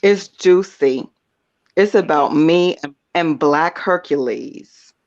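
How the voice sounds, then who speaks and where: A raspy-voiced man joining a live chat as a guest, playfully teasing a juicy story. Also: on the high side, slow pace, highs cut off. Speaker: guest caller. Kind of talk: casual live-stream chat.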